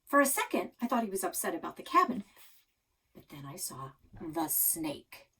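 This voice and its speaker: A woman narrating a children's novel aloud in an animated, dramatic, expressive style. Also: fairly low pitch, normal speed, slightly rough, distant mic. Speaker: storybook reader.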